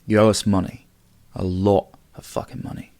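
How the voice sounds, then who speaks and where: A woman's voice: a bass voice, level tone, moderate pace, mellow, dry recording, pitched below the performer's own voice. A woman voicing a menacing young male gang leader with a silky, threatening manner.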